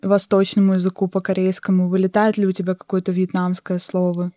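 A woman's voice: on the low side, completely flat delivery, brisk, warm tone, no echo, studio quality. A young adult woman from Yakutia hosting a podcast. She chats casually with a friend and asks long, rambling questions. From Yakutia, Russia. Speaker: podcast host. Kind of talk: casual chat, interviewing a friend.

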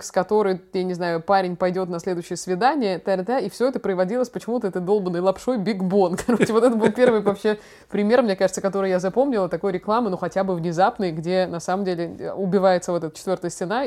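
A young woman co-hosting a casual podcast, chatty and slangy as she tells stories. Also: mid-range voice. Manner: chatty, slangy, animated storytelling. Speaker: podcast co-host, daughter talking with her father.

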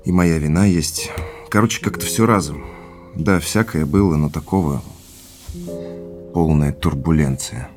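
Casting: subdued businessman under strain, deep voice, hesitant, independent streak; audio drama with music underneath. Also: unhurried, pauses often, a little mellow. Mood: burdened, confessing. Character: troubled businessman husband, subdued, stubbornly independent. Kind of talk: naturalistic audio drama dialogue.

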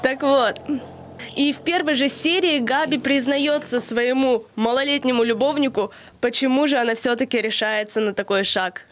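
A woman in her early twenties co-hosting a podcast, explaining a topic in a measured, lecture-like way with occasional hesitations. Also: high-pitched. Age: early 20s. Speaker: podcast co-host presenting the theory segment. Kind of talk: explaining from prepared notes, then casual chat.

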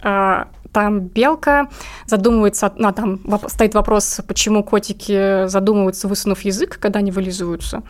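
A woman being interviewed, telling stories casually in informal, slangy language. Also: medium pitch. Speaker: interviewed comic artist and cat owner.

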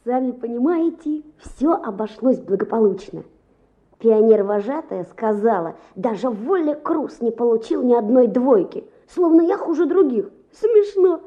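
A woman's voice: a little high, lively intonation, unhurried. An actress playing a cocky, boastful schoolboy who tells his story in a Soviet radio play. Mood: offended, bragging. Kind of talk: Soviet radio play, first-person narration. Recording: dull lo-fi old radio recording.

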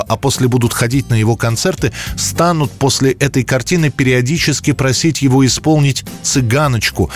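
A man hosting a programme, reading a scripted narration about history in a studio. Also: mid-range voice. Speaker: radio programme host.

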